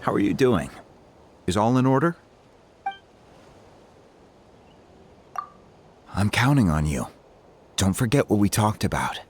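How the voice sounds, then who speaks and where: Dignified game chief, low voice with lots of rise and fall, formal, bit of background noise. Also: male voice, big pitch swings, normal speed. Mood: expectant. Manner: formal, stately. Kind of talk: video game character dialogue.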